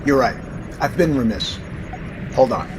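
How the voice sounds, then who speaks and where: A man's voice: mid-range voice, animated, unhurried, natural voice. A man playing a formal, authoritative submarine captain in an audio drama, with clipped military speech. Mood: composed, authoritative. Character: commanding, dutiful male submarine captain. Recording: roomy, distant, noisy vehicle ambience.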